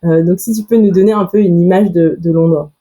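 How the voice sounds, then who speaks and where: Low-voiced, mellow woman host. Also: fairly even intonation, a little fast, highs cut off. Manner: clear read intro, chatty questions with fillers. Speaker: podcast host. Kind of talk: scripted intro, then interviewing.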